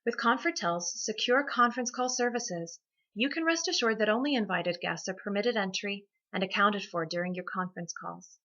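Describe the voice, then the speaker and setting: A woman's voice: medium pitch, normal speed, sharp tone, highs cut off. A woman hosting a scripted instructional segment, presenting in a structured way with emphasis on key points. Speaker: host of a business tips segment.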